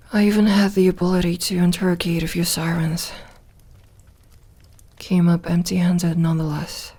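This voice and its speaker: A woman playing a lonely, lovelorn vampire in an intimate ASMR audio roleplay, gentle and wry, speaking softly with hesitant pauses. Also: on the low side, breathy edge. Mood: wistful, sorrowful. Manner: hesitant, soft-spoken, slow with pauses.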